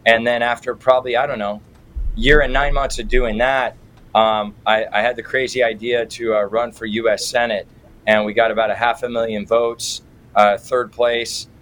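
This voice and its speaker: A man's voice: medium pitch, level tone, normal speed, fairly bright tone. A middle-aged American man answering interview questions as an activist guest, with an irreverent, colloquial manner and folksy turns of phrase. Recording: clearly noisy background.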